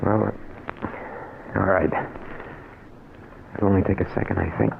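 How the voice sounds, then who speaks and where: Radio crime drama: uneasy, desperate honest man, lowish creaky voice, hesitant, noisy vintage recording. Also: fairly even intonation, slow, narrow, tinny audio, full character voice. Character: reluctant, anxious honest man desperate for money. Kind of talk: old-time radio crime drama acting.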